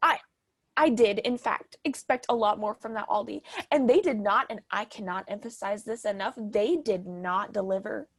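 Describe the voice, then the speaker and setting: A woman's voice: mid-range voice, moderate pace, husky, dry recording, clean studio sound. A woman playing a doting but overbearing mother in a stage comedy, gushing and reciting with pompous theatrical grandeur. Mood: wistful, aggrieved.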